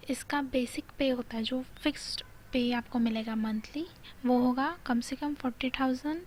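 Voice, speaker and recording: A woman's voice: a little high, darkish tone, rough recording. An Indian woman giving an informal explainer, reading out and explaining details while mixing in English phrases. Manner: mixes Hindi with English phrases. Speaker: video host explaining a job notification. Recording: clear background noise.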